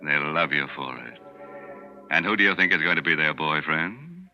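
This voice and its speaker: An actor playing a ghoulish, teasing horror host on a 1940s radio show, with campy, sardonic menace. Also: male voice, very deep voice, very animated, moderate pace, bright, forceful tone, full character voice. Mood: gleeful, macabre. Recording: dull vintage radio broadcast with music.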